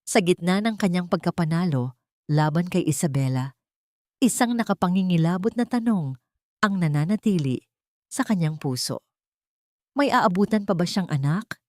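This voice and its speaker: A woman's voice: fairly low pitch, expressive, normal speed, long pauses, a little mellow, no room sound. A woman with a Filipino accent reading a dramatic scripted story as an audiobook narrator.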